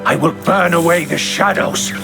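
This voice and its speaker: An actor playing an imperious, zealous old angel Archon in a fantasy video game, raspy and grandiose. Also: male voice, a little high, moderate pace, over loud music. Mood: fervent, imperious. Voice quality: raspy, gravelly. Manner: grandiose, theatrical.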